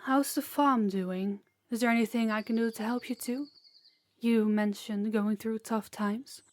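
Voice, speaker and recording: A woman playing a sincere, remorseful queen in a fantasy audio drama, speaking in a formal, measured way. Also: fairly high pitch, fairly even intonation, a little mellow, no room sound, close to an everyday voice. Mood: gracious. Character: earnest, remorseful queen of a kingdom, gracious and formal. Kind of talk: scripted fantasy audio roleplay.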